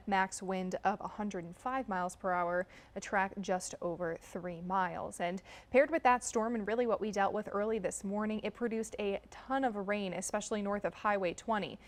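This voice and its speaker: An American woman reporting live on the weather as a television meteorologist. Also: mid-range voice.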